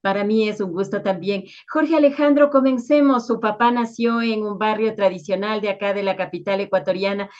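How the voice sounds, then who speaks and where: A woman hosts an interview in a formal, courteous manner. Her voice sits at a medium pitch, and the recording is slightly muffled and lo-fi. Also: steady tone, fairly quick, hardly any pauses, a little mellow. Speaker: interviewer and show host.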